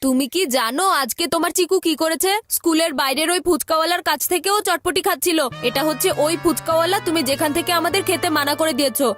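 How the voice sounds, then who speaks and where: Very high, tattling little cartoon brother. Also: female voice, fast talker, hardly any pauses, a bit bright, soft background music. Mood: indignant, tattling. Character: tattling, indignant younger brother, small boy. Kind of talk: animated cartoon dub.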